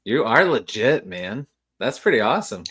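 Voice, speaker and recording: Male stream host, mid-pitched, relaxed and encouraging interviewer. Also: breathy edge. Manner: laid-back, encouraging, quick laughs. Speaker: host of a book live stream. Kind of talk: casual live-stream interview.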